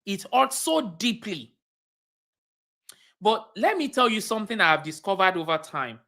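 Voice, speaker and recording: A woman with a Nigerian accent coaches a livestream audience in an emphatic way. Her voice is bright and forceful, and she often circles back to repeat a point. Her pitch moves a lot, and she checks in with her listeners by asking them questions. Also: sing-song, normal speed. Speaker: cybersecurity career coach. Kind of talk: livestream teaching talk.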